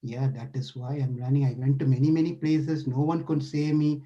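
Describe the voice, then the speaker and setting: A man's voice: mid-range voice, flat delivery, fairly quick, warm tone, dull audio, pitched below the performer's own voice, close to an everyday voice. A man voicing a frightened, hot-tempered old sage who pleads for help, in a devotional story. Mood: fearful, pleading. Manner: pleading, fearful.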